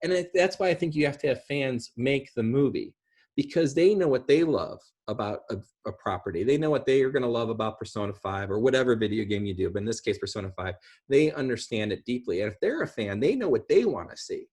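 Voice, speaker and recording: A man's voice: mid-range voice, animated, fairly quick, darkish tone, slightly rough. A middle-aged man on a video call, explaining his ideas in a casual, rambling way. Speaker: guest speaker. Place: indoor room at home, on a video call. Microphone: video call microphone.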